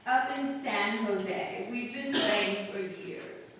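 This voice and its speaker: Heavy echo and faint noise on a distant mic. A woman acts an elderly female shopkeeper in a radio play, slow-talking, folksy, blunt and full of opinions. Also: mid-range voice, a bit bright. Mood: chatty, folksy. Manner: folksy, plain-spoken, unhurried. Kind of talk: old-time radio drama acting. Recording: very echoey hall, distant mic, noisy.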